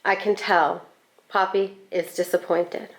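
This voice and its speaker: A woman reading a children's picture book aloud in an expressive, deliberate storytelling manner. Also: lowish voice, poor audio. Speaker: school staff storybook reader.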